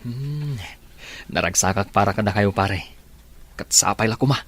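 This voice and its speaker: A man's voice: on the low side, a little slow, a bit bright. A man playing a teasing, supportive young buddy in an Ilocano audio drama. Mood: teasing, hopeful.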